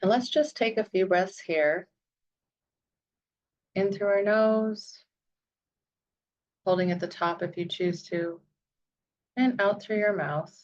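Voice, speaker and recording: Female meditation guide: very slow, soothing, lowish voice, long pauses, slightly muffled lo-fi recording.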